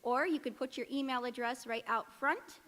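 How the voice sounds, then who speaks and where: A woman with a high voice makes informal theatre announcements before a show. She banters casually and a little scattily, and her voice rings around a reverberant hall. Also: normal speed, fairly bright tone. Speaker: theatre announcer welcoming audience. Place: live in church hall before an audience. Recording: echoey hall, long reverb.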